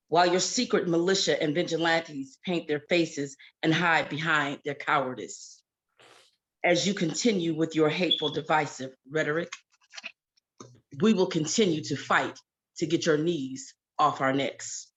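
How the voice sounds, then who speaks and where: A woman's voice: sits low, unhurried. Indignant, rhythmic woman performing protest poetry. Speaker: poet reading own work. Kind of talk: spoken-word protest poetry recitation.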